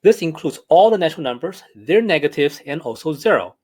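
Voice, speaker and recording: A math professor with a slight Hong Kong accent talks through a recorded lecture. His voice sits a bit high and rises and falls a lot. He explains in a measured, careful way. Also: animated, a little mellow, breathy edge. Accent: Hong Kong English.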